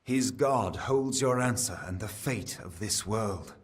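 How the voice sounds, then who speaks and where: A man's voice: fairly low pitch, slow. An actor playing a solemn, ancient fae king in a fantasy game, speaking in a formal, portentous manner. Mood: grave counsel. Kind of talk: scripted fantasy game acting.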